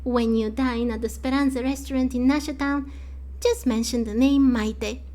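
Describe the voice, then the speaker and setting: A woman's voice: sits high, steady tone, moderate pace, mellow, natural voice. A woman voicing a proud, loyal young girl from a street gang in a video game, speaking earnestly. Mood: proud, friendly. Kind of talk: fan voice-over of video game dialogue.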